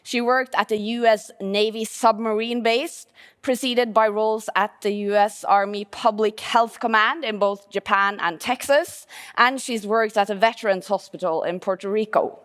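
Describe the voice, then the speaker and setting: A woman's voice: medium pitch, fairly even intonation, moderate pace, fairly bright tone, clear, resonant tone. A woman presenter introducing the next speaker at a conference, reading her background in an upbeat way. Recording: reverberant hall.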